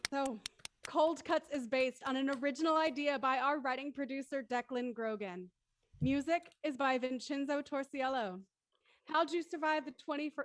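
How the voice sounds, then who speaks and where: A woman hosting an online panel discussion in an effusive, polite way. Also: high voice. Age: adult. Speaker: host. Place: indoors on a group video call.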